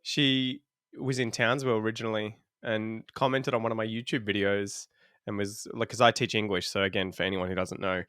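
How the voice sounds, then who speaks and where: A man's voice: lowish voice, brisk, clear, resonant tone, dry recording, clean studio sound. A man in his thirties from Australia, an English teacher chatting casually as a podcast guest, laughing often while he tells stories. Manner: laughs often, relaxed storytelling. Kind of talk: casual chat.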